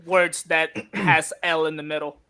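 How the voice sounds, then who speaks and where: A man's voice: fairly high pitch, little pitch movement, unhurried, bright, forceful tone, clean tone. A young man from the Philippines, English his second language, chatting casually as co-host with many fillers. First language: Filipino language. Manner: laughing, hesitant, many fillers. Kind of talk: casual chat.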